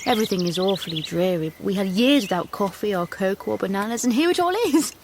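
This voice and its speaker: Actress as young British colonial wife, animated pitch, chatty and wide-eyed, radio drama, faint birdsong behind. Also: female voice, quick pace, slight background noise, lightly acted. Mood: wistful, chatty. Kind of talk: naturalistic radio drama dialogue. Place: outdoor veranda scene with birds. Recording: faint outdoor ambience with birdsong.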